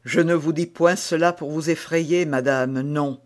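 A man's voice: fairly high pitch, steady tone, a little slow, lightly acted. A man reading aloud as an ardent, lovestruck nobleman who speaks fervently and fatalistically. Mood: melancholy. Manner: fervent, declamatory.

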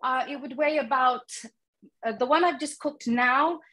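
A middle-aged woman with a fairly high voice gives a casual cooking demonstration. She talks informally from her home kitchen, a little way back from the device recording her. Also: a little slow, bright, forceful tone, poor audio. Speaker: guest cook demonstrating a recipe.

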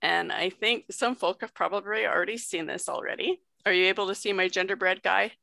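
Gravelly, bright-voiced young woman explaining. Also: mid-range voice, fairly even intonation, brisk, no echo. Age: young adult. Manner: explanatory, conversational, checks in often. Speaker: guest sex educator. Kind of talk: educational presentation on video call. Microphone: video call.

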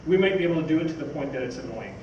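An older man gives an informal talk, rambling a little as he goes. His voice is on the higher side. It is recorded from a distance in a very echoey hall, with clear background noise. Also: expressive, racing, lo-fi sound. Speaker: speaker, magazine editor. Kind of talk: informal live talk from notes. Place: theatre stage, indoors. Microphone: stage mic on stand, picked up by distant camera. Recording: roomy, noisy stage recording from far off.

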